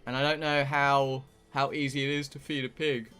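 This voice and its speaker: A man's voice: mid-range voice, level tone, normal speed, pushed and bright, smooth, soft background music. A British man live streaming a game, rambling casually to his viewers in a blunt, sweary, joking way. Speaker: live streamer playing a visual novel.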